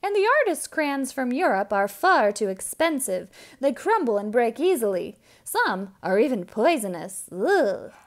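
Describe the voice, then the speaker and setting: A woman's voice: fairly high pitch, sing-song, unhurried, hardly any pauses. A woman voicing fed-up townspeople complaining in a picture book, exasperated and emphatic, drawing out words. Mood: exasperated complaint.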